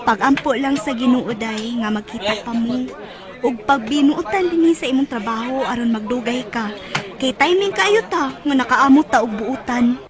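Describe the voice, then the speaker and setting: Woman playing caring nosy big-sister coworker, cebuano radio drama, high pitched, chiding n motherly. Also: normal speed, crowd noise, natural voice. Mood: sympathetic. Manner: chiding, motherly advice-giving. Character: caring, nosy older female co-worker and confidante. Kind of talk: Bisaya radio drama acting.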